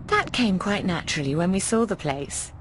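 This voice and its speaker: Casting: woman as middle-aged British landlady, fond and cheeky, animated delivery, game dialogue over noisy ambience. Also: medium pitch, normal speed, close to an everyday voice. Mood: musing, proud. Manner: affectionate, frank, cheeky, often calls people darling. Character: warm, bawdy middle-aged British landlady of a boarding house. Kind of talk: video game voice acting.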